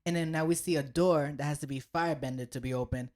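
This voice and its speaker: A woman's voice: deep voice, fairly even intonation, fast, a little mellow. A woman co-hosting a podcast in casual chat, stopping now and then to hunt for a word and joking about it. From United States. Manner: searches for words, self-deprecating. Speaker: podcast co-host.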